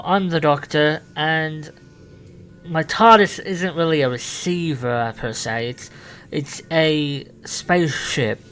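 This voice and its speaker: A man's voice: on the high side, a bit bright, smooth. A man improvising as an eccentric male time traveller, hesitant and full of ums and uhs. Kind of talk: improvised roleplay.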